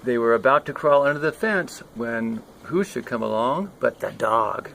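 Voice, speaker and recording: Older gravelly man reading a storybook. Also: medium pitch, expressive, moderate pace, fairly bright tone. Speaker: storybook reader, grandfather. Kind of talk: reading a children's storybook aloud. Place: outdoors at a scenic lake. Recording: outdoor ambient noise behind.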